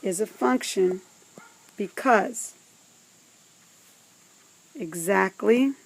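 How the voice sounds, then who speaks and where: A woman teaching math step by step, speaking in a deliberate, instructive way with pauses between phrases. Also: medium pitch, vocal fry, husky, low-quality recording. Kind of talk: scripted step-by-step instructional narration.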